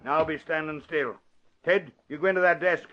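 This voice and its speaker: An actor playing a tough policeman who gives brisk orders, in 1940s radio style. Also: on the high side, steady tone, bright, forceful tone. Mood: commanding. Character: tough, commanding policeman breaking up a fight. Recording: dull vintage radio broadcast, faint noise.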